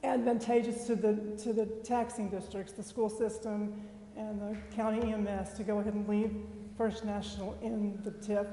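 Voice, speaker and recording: A woman giving an unscripted public comment at a council meeting, halting as she sets out a correction. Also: medium pitch, flat delivery, mellow. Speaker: citizen speaking at a council meeting. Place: indoor council chamber. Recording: echoey reverberant meeting hall.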